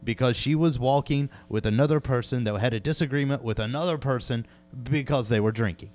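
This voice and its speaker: A man's voice: mid-range voice, fairly quick, a little mellow. A man chatting casually as a show host, with a folksy, joking, easygoing manner. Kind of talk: casual chat. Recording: clear background mains hum.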